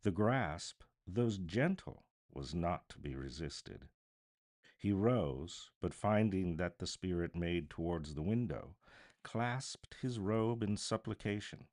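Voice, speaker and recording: A man narrating a classic story as a dramatic live reading, with theatrical, deliberate phrasing. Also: low voice, animated, a little mellow.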